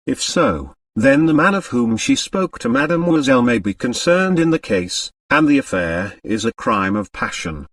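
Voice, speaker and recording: A man voicing a brisk, methodical French police commissary in an audiobook, official and reassuring. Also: mid-range voice, sing-song, fairly bright tone, no room sound, recorded from a distance. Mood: methodical, speculating.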